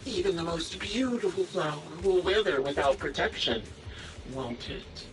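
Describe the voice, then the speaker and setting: Woman acting sadistic villainess, anime game, teasing drawl, lively swooping pitch, music behind. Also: distant mic, natural voice. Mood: smug teasing. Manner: mocking, teasing, drawn-out delivery. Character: sadistic, theatrical villainess taunting a young sniper.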